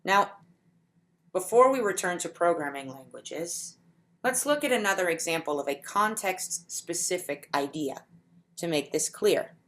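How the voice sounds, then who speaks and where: A woman giving a conference talk in a deliberate, explanatory way, slowing down to stretch key words. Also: lowish voice, animated, a bit bright. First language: English. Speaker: conference speaker. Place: home setup for a virtual conference.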